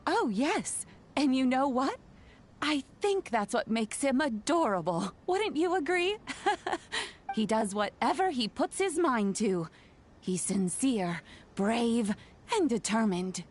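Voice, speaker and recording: A woman voicing a kindly old granny in a video game, gentle, reassuring and proud of those she cares for. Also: a little high, lively intonation, slow, husky, heavily acted. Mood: fond, proud. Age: older. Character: kindly, proud, doting old granny who raised an oni.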